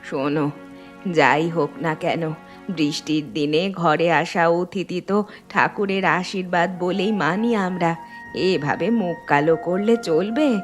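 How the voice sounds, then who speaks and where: Woman playing a slow-talking motherly village housewife. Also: lowish voice, faint music behind. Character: motherly, hospitable middle-aged village housewife. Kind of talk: cartoon dialogue dub.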